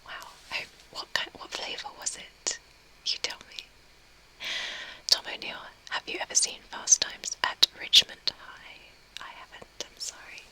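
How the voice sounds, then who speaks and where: A young woman whispering softly as she reads and answers a live chat, a little tearful. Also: a little slow, low-quality recording. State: tearful, recently crying. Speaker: ASMR streamer. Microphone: webcam mic.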